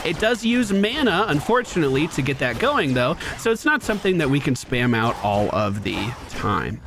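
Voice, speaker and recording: Gameplay commentator, male, higher-than-average voice, very animated intonation, playful and upbeat. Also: normal speed, background noise. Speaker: gaming host.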